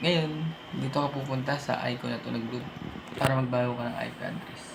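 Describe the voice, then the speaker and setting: Gravelly Filipino man giving a casual tutorial. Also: medium pitch, level tone, fairly quick. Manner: casual, thinking aloud step by step. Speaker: tech tutorial presenter. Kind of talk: informal hands-on tutorial. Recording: noisy amateur room recording.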